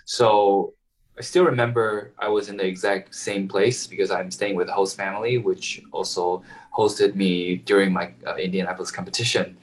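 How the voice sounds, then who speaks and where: Hesitant young man, somewhat low. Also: fairly even intonation, moderate pace, recorded from a distance, rough recording. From Taiwan. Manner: hesitant, many ums and restarts. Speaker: violinist guest. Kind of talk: casual interview answers.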